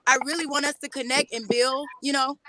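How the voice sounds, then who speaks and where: A woman's voice: high voice, steady tone, brisk, bright, forceful tone, a little hiss or hum. A young woman from Atlanta, earnest and gushing, thanking and chatting as a guest on a live stream. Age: young adult. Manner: earnest and gushing, laughs easily. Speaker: guest artist. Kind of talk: casual live-stream chat.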